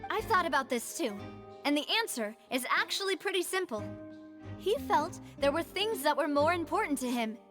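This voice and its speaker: A woman's voice: high voice, expressive, moderate pace, over music. An actress playing a resolute young woman who leads a syndicate, speaking articulately in a video game courtroom drama. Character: resolute young woman, syndicate leader arguing for her dead father.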